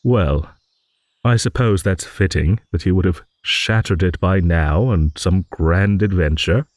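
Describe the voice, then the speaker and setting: Casting: male actor as ancient genial dragon for fantasy audio, deep and unhurried, given to musing rambles. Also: dry recording, clean studio sound. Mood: fond reminiscing. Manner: rambling, musing, with hums and soft chuckles. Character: rambling old dragon, genial, wistful and a little vain. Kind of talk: improvised fantasy audio monologue.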